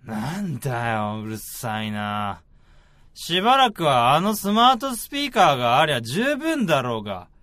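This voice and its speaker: An actor playing a cocky, slacking senior AI researcher with a brash casual manner in a radio drama. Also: male voice, mid-range voice, very animated, normal speed, a bit bright, dry recording. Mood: irritated. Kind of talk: radio drama acting with first-person narration.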